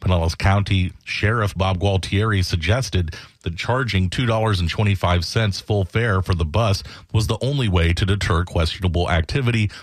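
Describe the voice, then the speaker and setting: Male news reporter, very low register, scripted narration in a dry close space. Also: no room sound.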